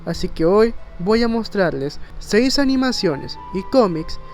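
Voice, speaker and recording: High-voiced man narrating. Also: animated, a little slow, soft background music. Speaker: video narrator, content creator. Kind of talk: informal countdown video narration.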